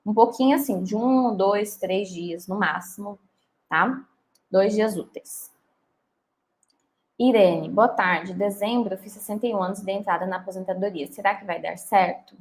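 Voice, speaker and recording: A woman's voice: mid-range voice, moderate pace. Woman, 30s, lawyer on a live Q&A; chatty, checks in with listeners often. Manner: chatty and reassuring, often ends with "tá?". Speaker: lawyer answering viewer questions. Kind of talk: live Q&A, reading comments aloud.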